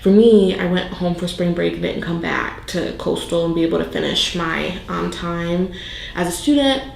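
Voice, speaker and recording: A woman's voice: on the low side, moderate pace, gravelly, a little mellow, roomy sound, slight background noise, rough recording. A young woman in her twenties from South Carolina, answering interview questions in a chatty way with many fillers. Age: 20s.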